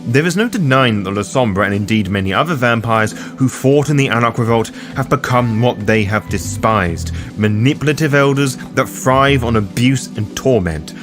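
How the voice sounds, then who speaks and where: A man's voice: medium pitch, expressive, normal speed, hardly any pauses. A man hosting a tabletop roleplaying lore guide, reading scripted explanatory narration. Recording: voice over loud music bed. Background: music.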